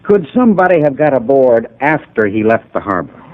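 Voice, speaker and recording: A man's voice: mid-range voice, big pitch swings, fairly quick, natural voice. A man playing an urgent, questioning associate. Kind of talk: 1950s radio drama acting. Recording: lo-fi old radio broadcast.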